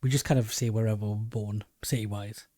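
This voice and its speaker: Geordie man, lowish voice, mellow tone, dry close sound, casual podcast host, rambling. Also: fairly even intonation, brisk, dry recording. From England. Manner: rambling, many restarts. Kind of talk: casual chat.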